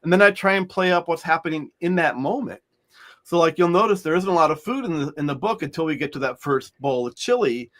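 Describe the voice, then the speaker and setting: Middle-aged male author, slightly high voice, rambling conversational guest. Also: expressive, fast, fairly bright tone. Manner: rambling, many restarts and fillers. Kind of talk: conversational interview.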